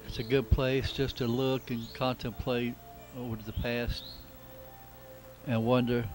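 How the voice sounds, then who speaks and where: A man narrating a casual travelogue in an unhurried, reflective, plain-spoken way. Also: medium pitch, little pitch movement, a little mellow, soft background music. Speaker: amateur travel videographer. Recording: noisy camcorder sound with outdoor ambience.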